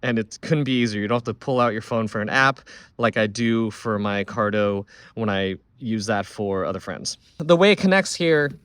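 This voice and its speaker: A man's voice: fairly low pitch, moderate pace, a bit bright, clear, resonant tone, dry recording. A man giving a casual, unscripted product review, thinking aloud in a conversational way as he goes.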